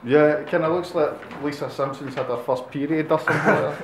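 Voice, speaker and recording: A man's voice: fairly high pitch, brisk. A man hosting a casual interview, running a drawing game with a jokey, laughing manner. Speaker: host. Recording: echoey room with loud noise.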